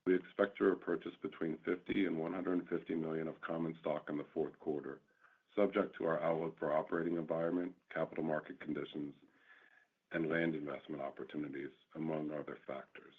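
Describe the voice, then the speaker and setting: Husky, very deep male executive on phone. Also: level tone, a little fast. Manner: formal, read-out delivery. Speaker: company executive presenting results. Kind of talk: scripted corporate statement. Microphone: conference call line. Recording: narrow, phone-like conference audio.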